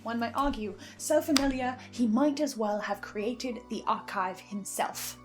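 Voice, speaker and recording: A woman with a fairly high voice delivers theory commentary over music. She speaks in a theatrical, emphatic way, as if presenting a case to viewers. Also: unhurried. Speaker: podcast listener sharing theories. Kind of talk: semi-scripted theory commentary to camera.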